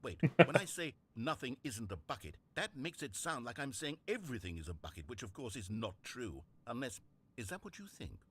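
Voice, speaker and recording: A man's voice: medium pitch, very animated, a little fast, fairly bright tone, husky, dry recording. A man playing a fussy, theatrical video game narrator, articulate, pompous and easily exasperated. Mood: bewildered, despairing.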